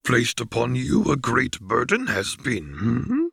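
A man voicing a tiny, wise, ancient male alien master who speaks slowly with inverted word order. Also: medium pitch, huge pitch swings, vocal fry, fairly bright tone, breathy edge, dry recording, studio quality, voice pushed up, full character voice.

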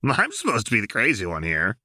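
A man's voice: fairly low pitch, wildly swooping pitch, fairly quick, sharp tone, no room sound, clean studio sound, pitched above the performer's own voice, full character voice. A man voicing a brash, volatile young woman gang leader in an audiobook, blunt, sarcastic and defiant. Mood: incredulous, rattled.

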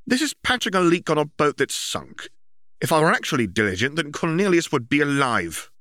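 A man's voice: a little high, big pitch swings, brisk, pushed and bright, dry recording, clean studio sound, voice pushed up. A man voicing an officious, earnest young bureaucrat, a diligent older brother who talks formally and insistently in an audiobook story. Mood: guilty, agitated.

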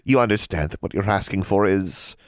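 A man voicing a sly old female hag who lends money, teasing and cackling with drawn-out words. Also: low voice, clear, resonant tone, dry recording, clean studio sound, voice pushed up, lightly acted. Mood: mocking.

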